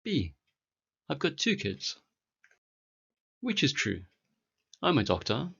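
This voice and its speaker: A British man in his forties teaching a beginner English lesson, speaking clearly and deliberately for learners. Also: medium pitch, wildly swooping pitch, fairly bright tone, lo-fi sound. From Britain. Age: 40s.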